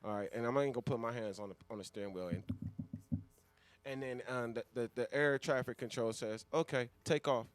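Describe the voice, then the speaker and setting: American dude on a radio show, animated slangy cussing banter, mid pitch, dry sound. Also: male voice, brisk, clear, resonant tone, no room sound. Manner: animated street-slang talk, often asks "you know what I'm saying". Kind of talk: casual, profane banter.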